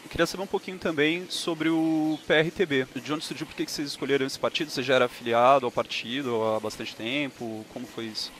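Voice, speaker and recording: A man's voice: mid-range voice, steady tone, fast talker, few pauses, fairly bright tone, a little hiss or hum. Informal podcast host, male. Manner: informal, colloquial. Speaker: podcast host interviewing a candidate. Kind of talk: informal podcast intro and questions.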